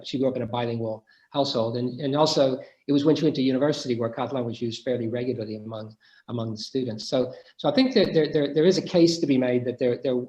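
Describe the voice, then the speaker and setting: A man's voice: mid-range voice, warm tone. Middle-aged man, academic Q&A speaker, hesitant and reflective, roomy echo. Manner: thoughtful, many restarts and hesitations. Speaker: guest speaker. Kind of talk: academic Q&A discussion.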